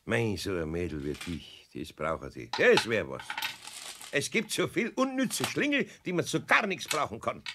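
A man's voice: mid-range voice, big pitch swings, normal speed, background noise. An actor playing a fatherly old craftsman with a Bavarian accent, grumbling but kindly, in a children's radio play. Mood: grumbling, appreciative. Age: older.

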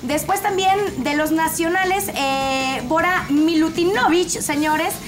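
High-voiced Mexican woman hosting sports chat. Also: slow pace. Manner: chatty, teasing, reads out a list with asides. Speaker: host. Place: TV studio set with audience. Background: music.

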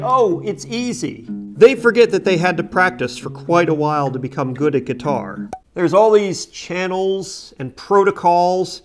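A middle-aged man hosts an explanatory vlog in a fairly high voice for a man. He is plain-spoken and stresses his points as he explains things to viewers. Faint music plays behind him. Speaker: host of a boating channel.

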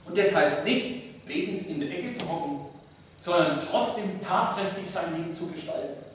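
A man preaching a sermon as a pastor, in measured, deliberate phrases with pauses. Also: high-pitched, animated. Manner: measured, deliberate, pausing between short phrases. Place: large church interior, speaking from a pulpit. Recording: echoey church, distant mic, faint noise.

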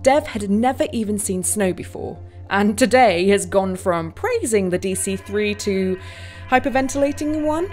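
Animated woman pilot telling her story, as acted. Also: medium pitch, moderate pace, faint music behind, lightly acted. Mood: wry. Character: plucky young female bush pilot narrating her story. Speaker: actor in an audio play. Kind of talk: dramatic monologue, audio play narration.

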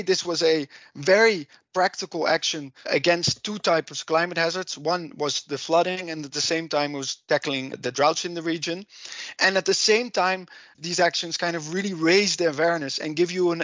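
A man's voice: on the high side, fairly bright tone, no echo, dull audio. A man with a heavy Dutch accent explaining project lessons in a podcast, often restarting his sentences. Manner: halting, many restarts and fillers. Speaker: project and policy coordinator.

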